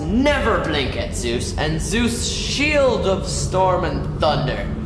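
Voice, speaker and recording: A man voicing a menacing, boastful giant Cyclops in an amateur puppet show, with a slow, taunting, declamatory delivery. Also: sits high, full character voice. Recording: echoey hall with background noise.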